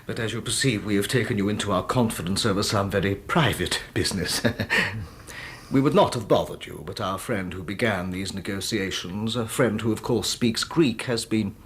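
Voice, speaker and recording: An oily radio-drama villain with a lowish, husky voice. He is elaborately polite, drawing out his words so that every courtesy carries menace. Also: a bit bright. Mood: falsely apologetic. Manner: oily, over-polite, drawn-out threats. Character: smooth-talking, giggling villain, falsely courteous and menacing.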